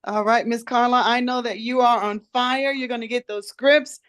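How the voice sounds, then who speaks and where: Woman call facilitator, light Trinidadian accent, higher voice, dry sound, encouraging. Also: fairly even intonation, normal speed, dry recording. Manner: encouraging, emphatic repetition. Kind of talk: upbeat motivational call.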